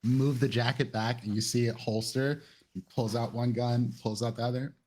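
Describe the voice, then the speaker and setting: Man, medium pitch, tabletop roleplay player, casual improvised delivery. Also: fairly even intonation, normal speed, a little mellow, slight background noise.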